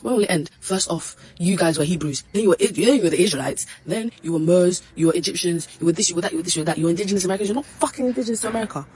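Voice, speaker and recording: A woman's voice: on the low side, very fast, few pauses, a little mellow, husky. A woman ranting to camera in a blunt, exasperated, scolding way. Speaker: social media commentator. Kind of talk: ranting direct-to-camera video.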